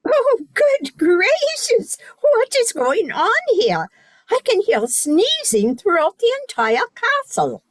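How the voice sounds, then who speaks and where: Woman playing a wildly expressive stern noble mother. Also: very high voice, slow, no echo, full character voice. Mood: indignant. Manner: theatrical, exclamatory. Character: stern noble lady, indignant scolding mother. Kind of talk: comic radio play acting.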